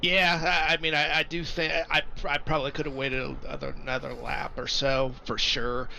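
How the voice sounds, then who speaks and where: A man's voice: mid-range voice, little pitch movement, normal speed, creaky, sharp tone, slight background noise. A man being interviewed after a race, talking with frequent restarts and easy laughter. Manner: hesitant with repeated restarts, laughs easily.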